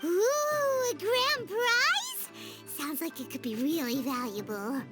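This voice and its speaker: A woman voicing a small, excitable, childlike fairy companion in a video game, squeaky and bubbly. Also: takes it slowly, exaggerated voice. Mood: excited, boastful. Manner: bubbly, refers to self in third person. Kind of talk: animated video game voice acting. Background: playful background music.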